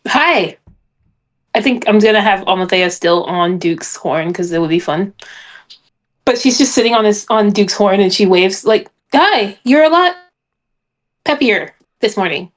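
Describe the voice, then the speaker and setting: A woman's voice: medium pitch, lively intonation, a little slow. A woman chatting casually and roleplaying as a player in a tabletop game.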